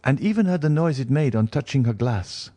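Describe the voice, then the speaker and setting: A man reading an audiobook, voicing an anxious, gentle young noblewoman in an earnest, pleading manner. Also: mid-range voice, expressive, brisk, dry recording, voice pushed up, lightly acted. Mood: agitated.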